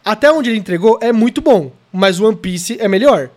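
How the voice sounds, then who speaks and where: High-voiced Brazilian man explaining eagerly. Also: animated, moderate pace. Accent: Brazilian Portuguese. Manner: eager explaining, lots of repetition. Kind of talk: casual chat.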